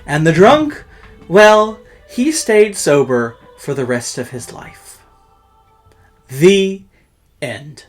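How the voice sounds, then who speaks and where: Theatrical, animated campy horror storyteller. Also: medium pitch, extremely slow, long pauses, faint music behind. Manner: pompous, campy, self-flattering showmanship. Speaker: storyteller host.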